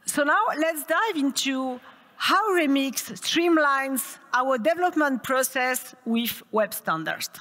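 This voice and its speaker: A woman's voice: high-pitched, animated, takes it slowly, pushed and bright. A woman with a French accent gives an upbeat tech conference talk and puts questions to the audience. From France. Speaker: conference speaker. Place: conference hall. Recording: reverberant hall.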